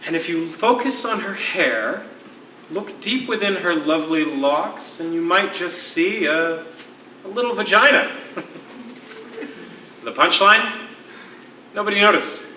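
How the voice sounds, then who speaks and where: A man playing an imprisoned poet in a live one-act play, eloquent and measured, with a wistful storyteller's air. His voice is strikingly sharp and projected, heard from far off in a roomy space with faint noise. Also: sits high, lively intonation, exaggerated voice. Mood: sly, amused. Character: lyrical, wistful former poetry teacher, a political prisoner. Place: theatre stage, recorded from a distance. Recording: echoey, distant stage recording with faint noise.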